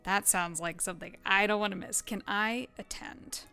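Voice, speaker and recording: A woman's voice: mid-range voice, fairly even intonation, moderate pace, bright, forceful tone, faint music behind. An actress playing a curious, skeptical young woman journalist who stammers when flustered and asks probing, wry questions. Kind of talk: audio drama table read.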